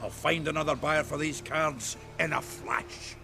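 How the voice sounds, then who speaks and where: Gruff jovial game dwarf, lots of gravel, blunt n hearty. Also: male voice, fairly high pitch, fairly even intonation, unhurried, over music. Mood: pleased, hearty. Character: gruff, jovial, foul-mouthed male dwarf merchant and fighter. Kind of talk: video game character acting.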